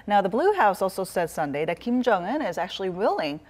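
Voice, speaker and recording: A woman's voice: medium pitch, big pitch swings, brisk, darkish tone. A woman working as a news anchor, putting questions to a guest in a live interview.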